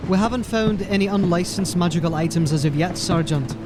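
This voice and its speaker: A man's voice: high voice, a little fast, music in the background, lightly acted. An actor playing a dutiful young corporal reporting to his sergeant in a hurry. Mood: urgent. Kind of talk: audio drama acting. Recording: noisy ambience behind. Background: noise.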